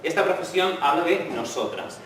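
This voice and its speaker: A man's voice: a little high, lively intonation, normal speed, a bit bright, distant mic, slight background noise. A man speaking as a conference lecturer to students, in an informal way with frequent tag questions, inviting the audience to interrupt. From Spain. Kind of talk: university lecture talk. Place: lecture hall. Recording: echoey hall, voice from afar.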